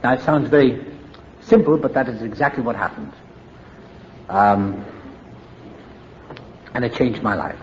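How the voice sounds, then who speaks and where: A man's voice: mid-range voice, lively intonation, measured pace, pauses often, dull audio, a little hiss or hum. An older man with a Welsh accent, candidly telling his recovery story to a meeting in a reflective, wry way. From Wales. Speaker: AA meeting speaker.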